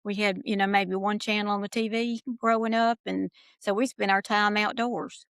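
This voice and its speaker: A woman's voice: medium pitch, flat delivery, normal speed, fairly bright tone, clear, resonant tone, no room sound, studio quality. A middle-aged woman from Georgia with a Southern accent, chatting as a podcast guest in a folksy, storytelling way. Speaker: podcast guest, nursery researcher. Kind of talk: casual chat.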